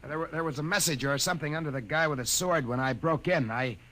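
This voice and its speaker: An actor playing a nervous, apologetic low-ranking soldier who stammers meekly in a 1950s radio drama. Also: male voice, fast talker, a bit bright, close to an everyday voice. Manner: stammering, meek. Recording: noisy old radio recording.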